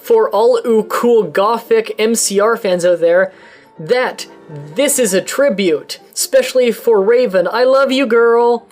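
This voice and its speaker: Woman reading a fanfic out loud for laughs, deadpan, mock-dramatic, mispronounces on purpose, music faintly behind. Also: on the high side, unhurried. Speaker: dramatic reader.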